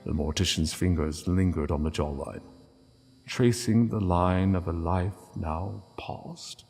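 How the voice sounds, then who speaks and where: A low-voiced man narrates a solemn story at an unhurried pace. He pauses between phrases in a measured, dramatic delivery. Long reverb surrounds the voice. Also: darkish tone. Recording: long reverb, music underneath. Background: music.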